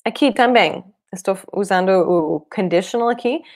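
A woman in her thirties, a native English speaker with a foreign accent in Portuguese, teaching an online language lesson in a clear, deliberate way. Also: medium pitch, darkish tone. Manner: clear, deliberate explaining with repeated examples. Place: small room indoors, at a desk.

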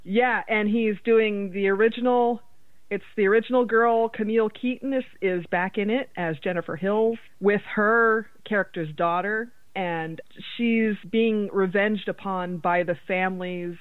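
A woman's voice: mid-range voice, a little slow. A woman comes through a thin, phone-like connection. She talks casually and informally, like a podcast co-host among friends, in a mid-range voice. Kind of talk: casual horror film chat. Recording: tinny phone-like line, roomy echo.

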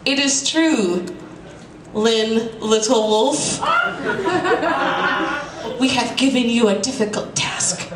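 A woman's voice: a little high, slow pace, long pauses. A woman voicing a solemn, wise female spiritual teacher with a ponderous, mock-mystical delivery. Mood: grave. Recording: distant echoey room mic, loud background noise.

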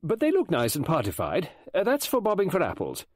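A man voicing a brisk, bossy society hostess in a storybook, managing everyone with emphatic instructions. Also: on the high side, wildly swooping pitch, no room sound, studio quality, voice pushed up. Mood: brisk, organising.

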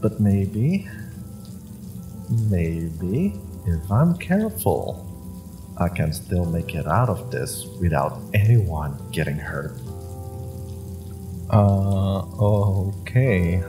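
A man playing a nervous, nerdy young superhero in a roleplay audio drama, halting and stammering as he earnestly talks. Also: low-pitched, expressive, music in the background, exaggerated voice. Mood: nervous, overwhelmed.

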